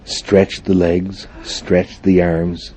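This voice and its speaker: A man leading a guided meditation for a group, speaking in formal, deliberate phrases punctuated by frequent hums. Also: deep voice, level tone, warm tone, slight background noise, rough recording. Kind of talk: guided meditation instruction before a live group.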